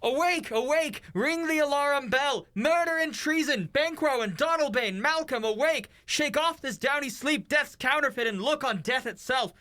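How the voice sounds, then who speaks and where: A man's voice: extremely high pitch, moderate pace, hardly any pauses, pushed and bright. A man acting a horrified, frantic noble in a Shakespearean radio play, declaiming in alarm.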